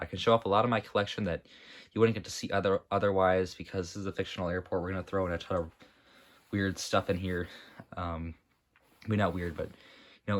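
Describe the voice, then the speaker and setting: A young man with a sore, dry throat casually narrating a walkthrough of his model airport, rambling with many fillers and self-corrections. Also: deep voice. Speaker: model airport hobbyist showing his build.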